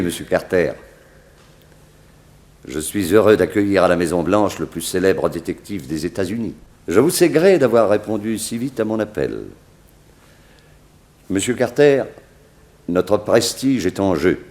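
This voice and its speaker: An actor playing a solemn, authoritative head of state in a 1960s French radio drama, speaking ceremoniously. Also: male voice, low voice, big pitch swings, unhurried, long pauses, darkish tone. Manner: formal, ceremonious. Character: solemn, stately male American president, courteous and authoritative.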